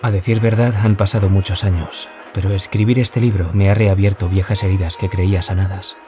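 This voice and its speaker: An actor playing a troubled young writer who survived bullying, confiding in a reflective audio drama monologue. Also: male voice, low-pitched, fairly even intonation, fairly quick, natural voice. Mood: pained, reflective. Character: troubled young man, former bullying victim, wistful writer. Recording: loud music behind. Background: music.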